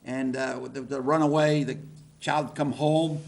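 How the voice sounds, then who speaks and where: A man's voice: mid-range voice, level tone, unhurried. An older man hosting a talk show, chatty and folksy, often cutting in. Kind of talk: casual interview chat.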